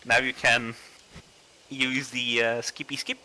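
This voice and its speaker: A man's voice: mid-range voice, level tone, takes it slowly, sharp tone, a little hiss or hum, poor audio. A man reading a horror visual novel aloud on a livestream, with casual commentary in between. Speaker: livestreamer playing a horror game.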